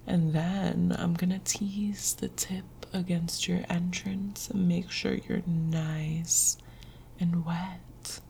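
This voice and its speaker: Woman voicing a slow, whispering, gravelly male lover. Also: lowish voice, little pitch movement, a little hiss or hum. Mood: coaxing. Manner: breathy hushed whisper, coaxing and possessive. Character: tender but dominant male lover. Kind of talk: intimate audio roleplay.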